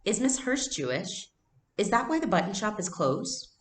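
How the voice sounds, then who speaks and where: A woman reader puts on an earnest young schoolgirl from a storybook. The girl sounds curious and anxious, her voice lifted higher and lively, in a roomy recording. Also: moderate pace, close to an everyday voice. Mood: worried questioning.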